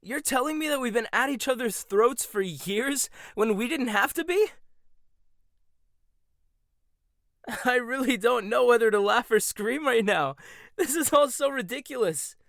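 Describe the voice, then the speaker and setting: A man's voice: extremely high pitch, animated, normal speed, vocal fry, fairly bright tone, no room sound, studio quality, heavily acted. A man playing a prickly rival chef. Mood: exasperated. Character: proud, prickly young male chef rival. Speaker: voice actor. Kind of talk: boyfriend ASMR roleplay.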